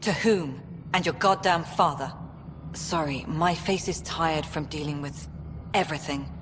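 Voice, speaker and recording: An actress playing a weary, irritable colony official in a video game, with a curt, sardonic, exasperated manner. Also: female voice, low voice, measured pace. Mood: irritated, weary. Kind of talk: video game dialogue acting. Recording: long echoing reverb, noisy ambience.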